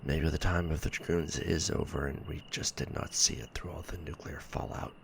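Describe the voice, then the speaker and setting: An actor playing a weary, bitter stranded mech warrior, muttering a sci-fi audio log in a breathy, gravelly murmur with sighs and hesitant pauses. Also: male voice, a bass voice, steady tone, a bit bright, a little hiss or hum, full character voice. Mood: bitter resignation. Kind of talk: sci-fi ASMR roleplay audio log monologue.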